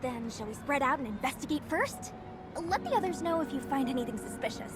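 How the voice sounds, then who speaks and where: An eager young mechanic from an anime video game. She speaks high and swoops around excitedly, sure of herself but a bit flustered. Music plays behind her. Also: fairly quick. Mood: confident, playful. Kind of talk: video game character acting.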